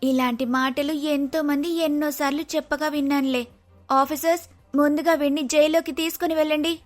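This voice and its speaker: High-voiced bold female undercover officer played by a woman. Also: fairly even intonation, normal speed, faint music behind, natural voice. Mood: taunting, triumphant. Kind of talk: dramatic moral-story voice acting.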